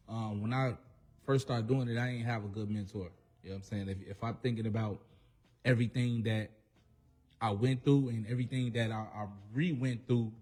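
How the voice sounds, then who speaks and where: Guy on a radio interview, talks earnest and thoughtful, mid-pitched everyday voice. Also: darkish tone. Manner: earnest, reflective, full of "you know what I'm saying". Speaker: guest rapper. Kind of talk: casual interview chat.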